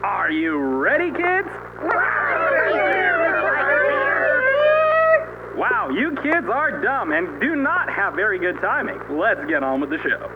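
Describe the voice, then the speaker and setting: Man as a manic high-pitched, swooping TV host. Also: big pitch swings, a little slow, gravelly, very noisy, exaggerated voice. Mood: condescending. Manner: showy, mocking, swooping delivery. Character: manic, surreal male TV host of a kids' riddle show. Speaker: show host. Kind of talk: theatrical retro TV presenting, analog horror. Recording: muffled VHS broadcast under loud music.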